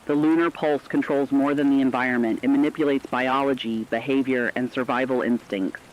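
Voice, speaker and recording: A man narrating a scripted emergency radio broadcast in a grave, measured manner. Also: mid-range voice, steady tone. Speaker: radio announcer. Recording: slightly dull audio with faint rain noise.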